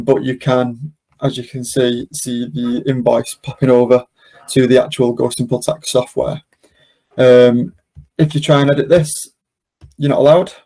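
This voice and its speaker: A man presenting an informal software walkthrough, explaining casually step by step. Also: mid-range voice. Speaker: software demonstrator, webinar presenter. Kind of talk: informal screen-share walkthrough.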